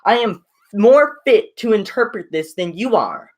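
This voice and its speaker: A woman's voice: mid-range voice, lively intonation, a little slow, voice pushed up. A woman voicing an arrogant young male suitor who talks down with scorn. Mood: scornful.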